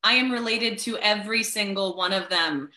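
A woman playing a brash, blunt, foul-mouthed new mother with joking warmth in a comedic play reading over a video call. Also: mid-range voice, normal speed, roomy sound, lightly acted. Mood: chatty, blunt. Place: video call in an echoey room.

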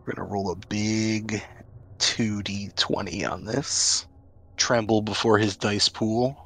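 A man's voice: lowish voice, fairly even intonation, slow pace, a bit bright, slightly rough. A man chatting casually as game master in a tabletop role-playing game.

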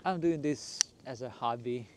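A man hosting a walking tour in a casual, unscripted way, speaking in halting, broken phrases outdoors on a city street. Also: mid-range voice. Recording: noisy outdoor street with traffic. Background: street ambience and passing vehicles.

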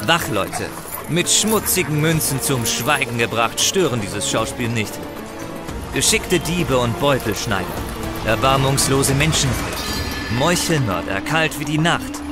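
A man narrating a fantasy audio drama in a theatrical, conversational storytelling style with musing asides. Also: mid-range voice.

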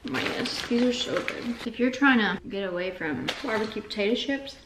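A young woman with a light lilt rambles cheerfully about her groceries, with plenty of fillers and self-mocking asides. She is picked up by a camera set back on a kitchen counter, so her voice sounds distant and roomy. A steady hiss sits under it. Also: on the high side, fairly quick. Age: young adult. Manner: chatty, rambling, self-deprecating with lots of "like" fillers. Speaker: vlogger. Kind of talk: casual food vlog chat. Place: home kitchen, indoors. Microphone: camera on the counter, some distance away.

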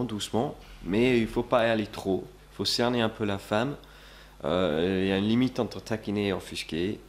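A man's voice: on the low side, slight background noise. A young man seated in a small office, earnestly explaining his approach to the camera as a coach. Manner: earnest, coaching, many restarts. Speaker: dating coach. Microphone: camera at close range.

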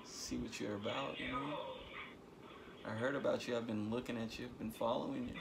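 A man's voice: medium pitch, fairly even intonation, brisk, vocal fry, background noise. A young man making casual prank calls, playfully repeating his phrases. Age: young adult. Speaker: prank caller. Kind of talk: casual prank-call banter. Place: small bedroom, indoors. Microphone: camera a short distance away.

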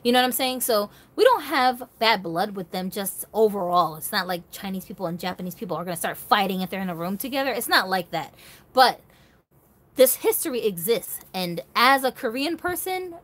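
A woman's voice: medium pitch, lively intonation, a little fast, a bit bright. Emphatic woman vlogger chatting casually. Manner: emphatic, conversational, seeks agreement with rhetorical questions. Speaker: host of a doll collectors' channel. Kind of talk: casual opinionated vlog talk to viewers.

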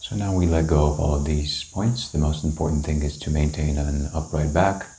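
A man leading a guided meditation, giving calm, gentle, unhurried instructions with long pauses. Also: very deep voice, a little hiss or hum. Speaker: meditation teacher. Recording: roomy, echoing indoor sound.

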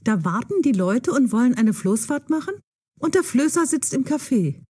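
An actress playing a curious, slightly puzzled young woman in an audio story, with a soft husky voice. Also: on the high side, big pitch swings, normal speed. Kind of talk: German learner audio drama acting.

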